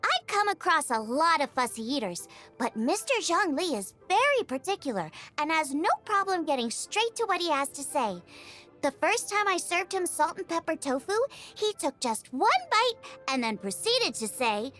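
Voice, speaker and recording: A woman voicing a bubbly, eager, food-obsessed young woman chef in an expressive video game style, chatty and full of exclamations. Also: high voice, normal speed. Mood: chatty, admiring. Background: music.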